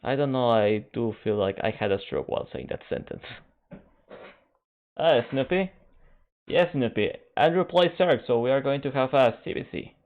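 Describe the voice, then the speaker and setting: Phone-like, halting male stream commentator. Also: mid-range voice. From Canada. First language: Spanish. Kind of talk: casual stream chat while waiting for a match. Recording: narrow phone-like band.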